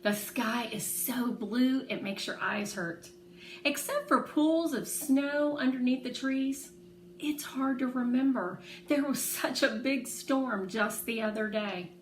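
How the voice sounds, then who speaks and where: A woman reading a children's novel aloud in expressive storytelling narration. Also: a little high, slow pace. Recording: roomy echo, faint background noise.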